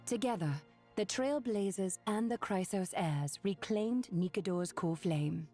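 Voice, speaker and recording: A woman voicing a poised, solemn, elegant noblewoman in a video game, speaking in a measured, formal, breathy way. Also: medium pitch, warm tone, soft background music, lightly acted. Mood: poised, gracious. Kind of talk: video game character performance.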